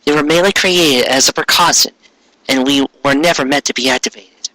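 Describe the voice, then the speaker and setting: A man's voice: fairly high pitch, a little fast, sharp tone, dry recording, low-quality recording. A man voicing the solemn, formal ghost of a moon queen in an anime fan fiction reading.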